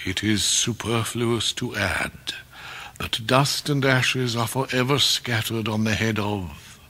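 An actor playing a pompous, theatrical English gentleman in debt, speaking in florid, orotund phrases in a radio drama. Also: lowish voice, slow, exaggerated voice. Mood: gloomy, grandiose. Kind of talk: 1990s British radio drama acting.

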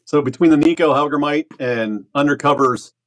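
A man's voice: on the high side, normal speed, fairly bright tone, poor audio. Plainspoken male guest on a video call. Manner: plainspoken, matter-of-fact. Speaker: podcast guest, tournament angler. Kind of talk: casual interview chat.

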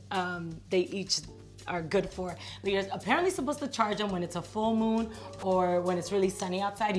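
A chatty, playful woman in her thirties walks through the bright rooms of her home on a camera mic. Her pitch sits mid-range, and her asides stretch out loosely. Faint music plays beneath her. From New York. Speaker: vlog host. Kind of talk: casual house tour vlog.

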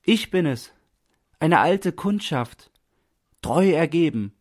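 A freezing, penniless man begs in a raised, high voice, acted out by a male reader. He calls out imploringly and desperately, as in a dramatic audiobook. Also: lively intonation, slow pace. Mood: desperate pleading. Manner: imploring, calling out loudly.

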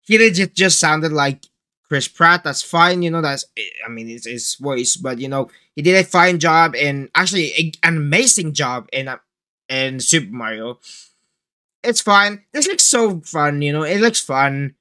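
A man's voice: fairly high pitch, expressive, unhurried, bright, forceful tone, smooth. A teenage young man casually chatting as a reaction video host, rambling with hesitant phrasing. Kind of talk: casual trailer reaction chat. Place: small indoor room full of collectibles.

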